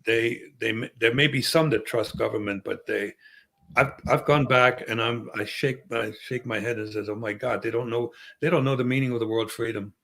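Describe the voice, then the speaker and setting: A male guest on a podcast panel, giving his opinions in a measured, thoughtful way. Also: medium pitch, steady tone, gravelly, a bit bright. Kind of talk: casual panel discussion.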